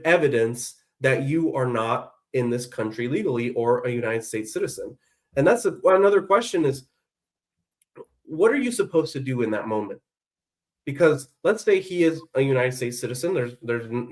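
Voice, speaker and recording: A male attorney guest gives commentary on a talk show. He speaks deliberately and with emphasis, in a mid-range voice. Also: mid-range voice.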